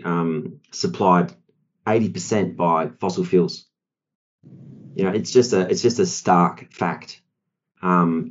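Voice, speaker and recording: A man's voice: low-pitched, darkish tone, lo-fi sound. A man in his forties with an Australian accent, a guest on a podcast, talking with many ums and you-knows. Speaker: law firm partner, guest. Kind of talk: podcast discussion.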